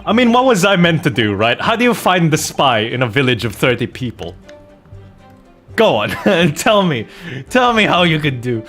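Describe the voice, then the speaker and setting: A man streaming a game, chatting casually and telling anecdotes in an animated, joking way with mock innocence. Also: on the high side, normal speed, soft background music. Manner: animated, jokey storytelling with mock-innocent protests. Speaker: streamer playing a video game and host of the channel.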